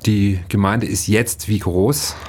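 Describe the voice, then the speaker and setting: Low-voiced male interviewer, polite. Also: steady tone, slow, darkish tone. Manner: polite, probing questions with fillers.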